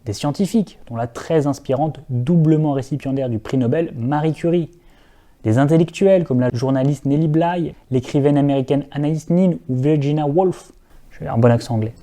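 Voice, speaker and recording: A man's voice: fairly high pitch, moderate pace, mellow. Earnest young man narrating a video essay. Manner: earnest, lightly ironic asides to viewers.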